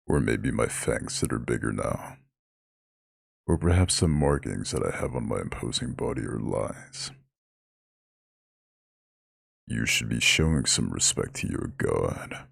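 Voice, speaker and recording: A man voicing an ancient, imposing eldritch god who is gently caring and proud, speaking in a slow, soothing, formal growl in an ASMR roleplay. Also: lively intonation, no echo, studio quality, exaggerated voice. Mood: proud, indignant. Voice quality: very deep gravelly husky growl.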